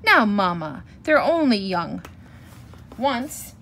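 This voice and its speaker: Papa bear for a children's story, played by a woman: grown male, indulgent but blustering, loud. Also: mid-range voice, big pitch swings, slow, slight background noise, heavily acted.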